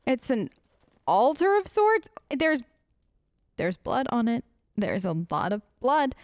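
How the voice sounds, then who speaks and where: A woman's voice: on the high side, wildly swooping pitch, slow pace, no room sound, studio quality. A woman giving casual role-play gameplay commentary as a let's play host, with a playful, hesitant, reactive way of talking.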